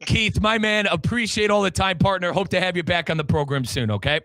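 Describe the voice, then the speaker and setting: Bright, chummy sports radio man. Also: high-pitched, brisk, no echo, clean studio sound. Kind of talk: casual sports talk show.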